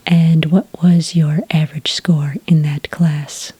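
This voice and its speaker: A woman playing a friendly, patient licensing clerk in a soft, breathy ASMR roleplay, speaking gently and politely close to the microphone. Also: low voice, flat delivery, slight background noise. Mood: calm, polite. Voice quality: breathy, soft, near-whisper. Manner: gentle, unhurried, politely official. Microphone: close, dry microphone.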